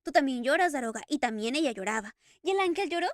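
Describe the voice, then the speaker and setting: A woman's voice: sits high, lively intonation, normal speed, darkish tone, dry recording, studio quality. A woman voicing an anguished masked man who talks in impassioned, stammering outbursts between sobs, read as a storybook character. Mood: tearful rapture. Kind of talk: audiobook character reading.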